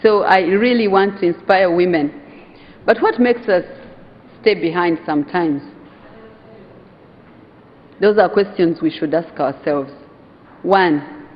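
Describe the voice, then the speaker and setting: A woman from Uganda with a Ugandan accent giving an earnest, emphatic conference speech, slowing down to stress key phrases. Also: fairly low pitch. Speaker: conference speaker, hotel owners' association vice chair. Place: conference hall. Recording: dull sound in a reverberant hall.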